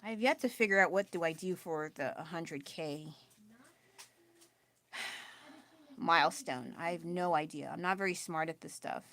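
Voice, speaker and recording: A woman chatting casually while painting on a live stream at home, self-deprecating and quick to laugh, with a loud voice. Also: lowish voice. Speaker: artist livestreaming her painting. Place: at home with family nearby.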